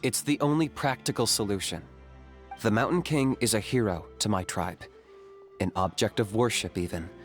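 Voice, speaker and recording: Game hero role, male actor: young hunter, lowish pitch, composed, matter-of-fact, dry wit, soft music behind. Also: normal speed, natural voice. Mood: firm, practical. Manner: calm, deadpan, matter-of-fact. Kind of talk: video game character acting.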